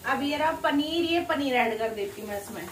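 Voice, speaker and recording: A woman's voice: sits high, expressive, brisk, background noise. A young woman in her twenties chatting to camera in a casual home vlog while cooking. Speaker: vlogger. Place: small home kitchen and living room indoors.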